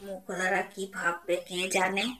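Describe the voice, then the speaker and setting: A woman's voice: lowish voice, completely flat delivery, moderate pace, close to an everyday voice. A woman voicing an anxious, flustered housewife in an amateur cartoon, fretting and complaining. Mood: worried, fretful. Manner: fretful, muttering complaints to herself. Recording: very noisy home recording.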